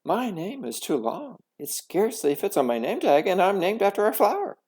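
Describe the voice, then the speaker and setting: A man's voice: high voice, huge pitch swings, moderate pace, darkish tone, breathy edge, voice pushed up, full character voice. A man voicing an earnest, easily hurt little mouse girl in a children's storybook, exclaiming in a childlike way. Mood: hurt, plaintive.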